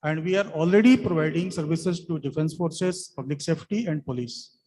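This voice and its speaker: A man's voice: fairly high pitch, normal speed, darkish tone. A man answering technical questions as a company representative, insistent yet deferential, in an echoing hall. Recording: echoey hall with background noise.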